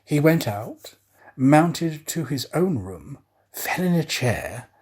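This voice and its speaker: A man reading a story aloud as a scripted narrator, with deliberate, theatrical phrasing. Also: on the high side, very animated, a little mellow, slightly rough. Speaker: storyteller, professional actor.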